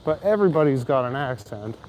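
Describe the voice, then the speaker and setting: A man's voice: medium pitch, lively intonation, fairly quick, darkish tone, a little hiss or hum, low-quality recording. A man with an Ontario Canadian accent chatting casually with his stream while walking outdoors, rambling and joking with frequent chuckles. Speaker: streamer talking to chat. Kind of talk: casual walking livestream chat. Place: outdoor city square in winter.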